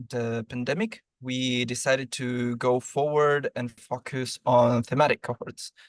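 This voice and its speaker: A man from Argentina, a program lead, answering interview questions in a measured way with some ums. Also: mid-range voice, no echo.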